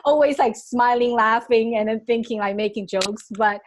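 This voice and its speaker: A woman's voice: fairly high pitch, normal speed, a little hiss or hum. A young woman from Taiwan whose first language is Chinese, chatting as a podcast guest with frequent laughs and restarts. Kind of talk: casual interview chat.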